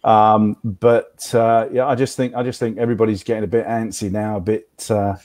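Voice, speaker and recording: An American man hosts in a relaxed, halting way, filling gaps with ums and repeating words as he thinks. His voice is low-pitched and warm, without any edge. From United States. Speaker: podcast host. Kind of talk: casual conversation.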